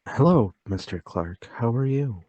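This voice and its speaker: Man acting a slow, husky, meek salesman. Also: mid-range voice, expressive, a little mellow, no room sound, highs cut off. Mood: deferential. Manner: deferential, hesitant. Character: meek, polite, anxious little salesman. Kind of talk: radio play table read.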